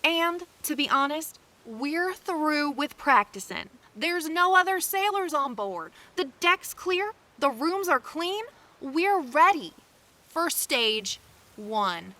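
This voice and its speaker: A woman's voice: high-pitched, big pitch swings, takes it slowly, pushed and bright, heavily acted. A woman playing an eager, obedient young female sailor in an audio drama. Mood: confident, eager.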